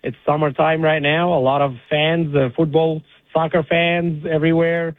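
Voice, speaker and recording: A man from Egypt who owns an ice cream shop, speaking politely with many pauses over the phone as a caller. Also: fairly high pitch, flat delivery, dry recording. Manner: polite, hesitant with fillers. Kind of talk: phone interview. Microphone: phone line. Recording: tinny phone line.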